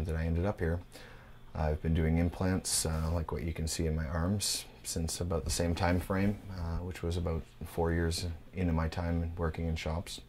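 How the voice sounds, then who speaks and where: Man, 30s, tattoo shop owner, interview. Very low and flat, laid-back, lots of "uh". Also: slight background noise. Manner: laid-back, unhurried, frequent "uh" fillers. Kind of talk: documentary interview.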